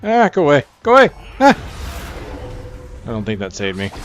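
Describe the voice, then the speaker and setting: A man's voice: fairly high pitch, wildly swooping pitch, moderate pace. A man giving casual gameplay commentary, thinking aloud as he plays. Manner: thinks aloud while playing. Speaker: gameplay video host. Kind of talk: casual video game commentary. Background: game music behind the voice.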